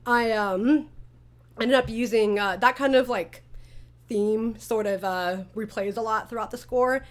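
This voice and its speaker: A woman chatting casually as an interview host and creator, telling stories in an animated way with quoted asides. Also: fairly high pitch, measured pace.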